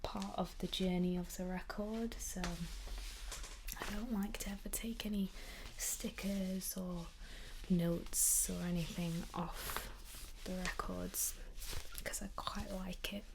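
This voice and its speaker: A young woman whispering in a soft-spoken ASMR chat, slightly croaky while getting over an illness, with frequent ums. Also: on the low side, steady tone, takes it slowly, background noise. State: recovering from illness, at times croaky. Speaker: ASMR creator.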